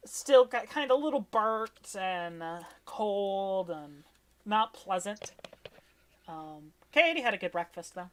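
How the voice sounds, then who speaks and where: A woman with a fairly low voice narrates a tabletop roleplaying game as its game master. She improvises in an informal, wry way, talking casually to her players.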